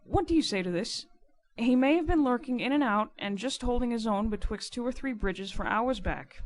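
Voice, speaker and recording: Woman acting rough, insistent riverman, pitched up. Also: expressive, moderate pace, natural voice. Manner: colloquial, insistent, nonstandard grammar. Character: rough, sly, boastful working-class riverman informer. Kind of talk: dramatic audiobook character reading.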